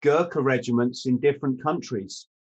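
A man's voice: medium pitch, normal speed, a little mellow, breathy edge, lo-fi sound. A man in his fifties hosting a podcast over a video call, asking long storytelling questions. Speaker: podcast host. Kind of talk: casual interview chat. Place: room at home.

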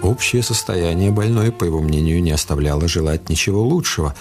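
Narrator for radio drama: male, lowish pitch, literary storytelling, soft music underneath. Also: fairly quick.

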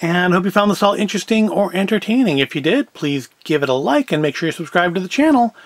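A man's voice: sits high, lively intonation, fast, no echo. A man, chatting casually through a food review in a wry, self-deprecating, rambling way. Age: 40s. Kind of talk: casual review chat to camera.

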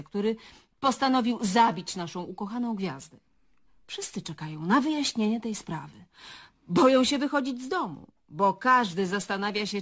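An actress playing a pushy, defiant journalist in a radio drama, deliberate, cool and ironic. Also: female voice, medium pitch, slightly rough. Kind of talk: Polish radio drama acting.

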